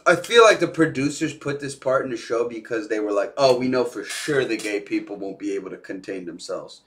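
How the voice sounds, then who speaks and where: A man's voice: mid-range voice, expressive, brisk, a bit bright. A young man streaming from home, casually talking over a TV show he reacts to, loose and slangy. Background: TV show playing with music.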